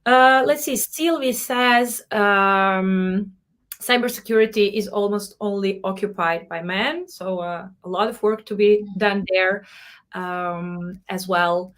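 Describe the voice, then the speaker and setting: A woman's voice: medium pitch, takes it slowly. A woman hosting an online panel, asking questions and reading out viewer comments. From Serbia. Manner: warm encouraging questioner, reads chat comments aloud. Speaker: host.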